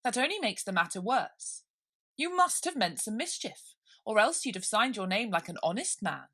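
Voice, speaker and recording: A woman's voice: mid-range voice, sing-song, moderate pace, bright, forceful tone, voice pushed up, exaggerated voice. Woman as pompous, blustering storybook king. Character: pompous, muddled male storybook king presiding as judge.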